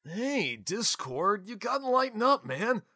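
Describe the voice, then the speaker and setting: A man playing a laid-back, brotherly male unicorn soldier in an animated radio play, talking casually like a dude. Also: high voice, measured pace, dry recording, studio quality, exaggerated voice. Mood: laid-back, coaxing.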